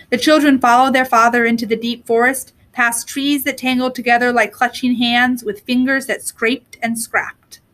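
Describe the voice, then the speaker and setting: A woman reading a children's story aloud in an expressive storytelling style. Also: a little high. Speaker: storybook reader.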